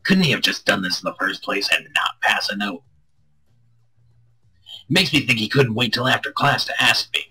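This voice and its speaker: A man with a husky, strained voice reading a visual novel aloud expressively, with crude joking asides and stumbles over lines. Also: lowish voice, lively intonation, normal speed, pauses often, pushed and bright, rough recording. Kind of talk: expressive read-aloud with casual banter.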